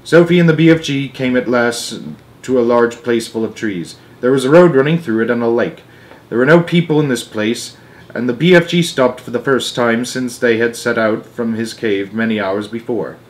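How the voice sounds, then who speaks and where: A man narrating a children's book aloud in an expressive way, with dramatic emphasis phrase by phrase. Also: mid-range voice, normal speed. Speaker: storyteller.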